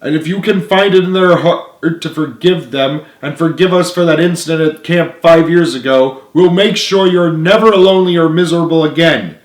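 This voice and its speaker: A kind-hearted young orphan girl, voiced by a man reading a storybook, earnest and pleading. The voice is lifted well above a man's normal pitch and sounds in an echoey room. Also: normal speed, few pauses, close to an everyday voice. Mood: sincere, pleading. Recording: roomy, echoey home recording.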